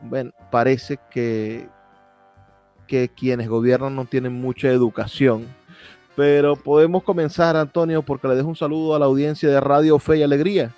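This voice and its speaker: A man with a Venezuelan accent hosting a radio show in an earnest, measured, reflective way. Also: mid-range voice, steady tone, dark tone, dry recording. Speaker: radio host. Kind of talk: radio show introduction and interview. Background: music.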